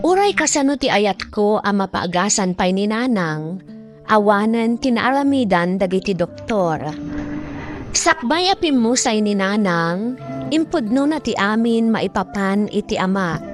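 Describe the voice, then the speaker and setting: A woman playing a grieving grown child, narrating family history in measured, sorrowful Ilocano-accented radio drama style. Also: medium pitch, lightly acted. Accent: Filipino, Ilocano. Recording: music bed under the voice.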